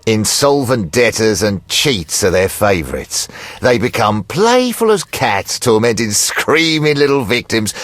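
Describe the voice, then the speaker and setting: A man's voice: mid-range voice, fairly bright tone, dry recording. An actor playing a sadistic, gloating male loan shark with a taunting, theatrical way of threatening, in a video game. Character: sadistic, menacing male loan shark who keeps beasts.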